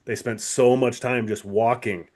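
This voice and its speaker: A man's voice: medium pitch, fairly even intonation, a little slow, darkish tone. An American man co-hosting a casual podcast chat about television, agreeable and matter-of-fact in how he talks. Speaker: podcast co-host.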